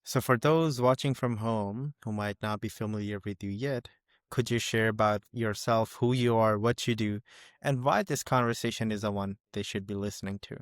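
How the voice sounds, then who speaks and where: A man's voice: fairly low pitch, moderate pace, clear, resonant tone, no room sound, clean studio sound. Upbeat man hosting an interview podcast. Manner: upbeat, laughs often, small verbal slips. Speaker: podcast host. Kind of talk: casual interview conversation.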